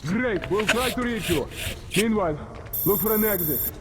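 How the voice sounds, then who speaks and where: An actor playing a concerned soldier comrade in a video game. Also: male voice, high-pitched, lively intonation, moderate pace, background noise. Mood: concerned, calling out. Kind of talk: video game cutscene acting. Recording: echoey, reverberant hall.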